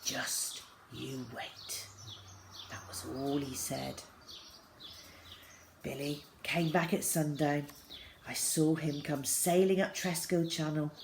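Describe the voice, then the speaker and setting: Female storyteller, lowish pitch, dramatic emphasis in children's fiction, noticeable background noise with birdsong. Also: steady tone, slow pace, vocal fry, long pauses. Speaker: storyteller reading a children's novel aloud. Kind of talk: expressive scripted story narration.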